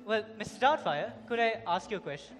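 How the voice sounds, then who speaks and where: A man playing a courteous, probing, wealthy gentleman suitor in a stage comedy. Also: high voice, expressive, a little fast. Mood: courteous, suspicious. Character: smooth, polite, wealthy gentleman suitor from London. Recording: echoey hall with music.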